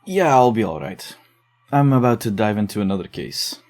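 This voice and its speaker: A man acting as a dogged investigator in a psychological horror audio drama. His voice sits low and is very soft-edged and dark. He delivers everything in a subdued, measured, low-key way. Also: lively intonation, close to an everyday voice. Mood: casual, reassuring. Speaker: investigator digging through case notes.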